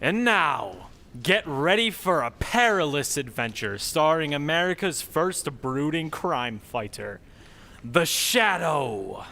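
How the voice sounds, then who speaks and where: A man's voice: on the high side, very animated, slow, vocal fry, pushed and bright. A man narrating a scripted show as an old-time radio announcer, with dramatic, theatrical delivery.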